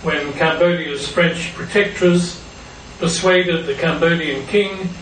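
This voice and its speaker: A man's voice: on the high side, a bit bright. Older aussie guy reading a formal talk, distant mic, echoey room with background noise. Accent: Australian. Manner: measured, formal delivery. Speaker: guest speaker, author. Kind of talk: prepared lecture. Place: lecture room at a forum.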